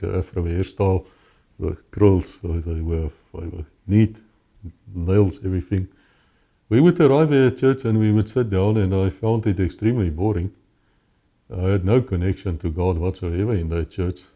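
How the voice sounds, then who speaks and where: A man's voice: low-pitched, dark tone. A man with a South African accent, telling his life story as an interview guest, earnest and often restarting his words. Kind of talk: personal testimony in conversation.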